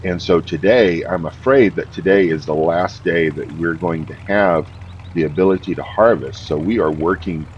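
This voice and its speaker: Deep-voiced man in easygoing game commentary. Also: normal speed, lo-fi sound, a little hiss or hum. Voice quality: deep morning voice. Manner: easygoing, rambling with many fillers. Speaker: game host. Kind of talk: casual gameplay commentary.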